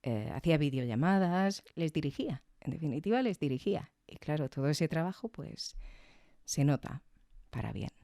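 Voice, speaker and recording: A woman podcaster talks through her review in a reflective, conversational way. Her voice is mellow and smooth, recorded close and dry. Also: sits low, animated, moderate pace, dry recording. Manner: reflective, frequent tag questions.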